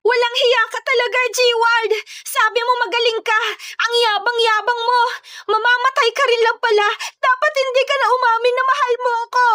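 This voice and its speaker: A distraught young woman, played by a female narrator in a romance drama story. Her voice leaps very high as she wails and shouts in stubborn grief. The sound is close and dry. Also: flat delivery, normal speed, no echo. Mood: angry grief. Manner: wailing, shouting protests.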